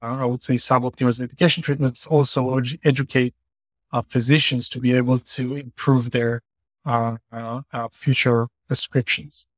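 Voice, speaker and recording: A man's voice: mid-range voice, level tone, normal speed, no room sound. Casting: male CEO from Israel, mid voice, earnest, filler-heavy, dry call sound. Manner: earnest explaining with many fillers. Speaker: company CEO guest. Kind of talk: podcast interview. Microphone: remote call.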